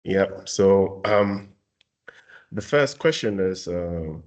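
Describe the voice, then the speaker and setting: A young man from Ghana with a very low voice walks viewers through services in a casual webinar. He hesitates often, filling gaps with ums and uhs as he explains. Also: lively intonation, slow pace, dull audio. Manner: hesitant, many fillers. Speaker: talent services staff presenting a webinar.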